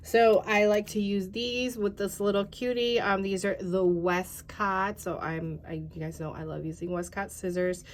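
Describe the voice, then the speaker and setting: A woman's voice: medium pitch, fairly even intonation, unhurried, hardly any pauses, slight background noise. A tired, congested woman in her thirties chatting casually through a craft tutorial, rambling with many fillers and talking to viewers as "you guys.". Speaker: craft channel host.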